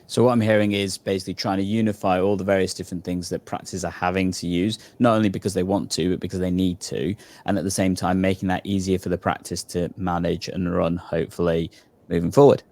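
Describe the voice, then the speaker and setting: Male host on a video call, really deep voice, talks fast, recaps what the guest just said. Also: flat delivery, darkish tone. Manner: sums up the guest's points. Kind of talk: interview questions and summaries.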